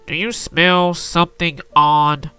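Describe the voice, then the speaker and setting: Woman putting on a slow, low-voiced father turtle. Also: little pitch movement, bright, forceful tone, smooth, no room sound, faint music behind, heavily acted. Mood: suspicious. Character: droll grown father turtle, mild and matter-of-fact. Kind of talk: children's storybook character voice.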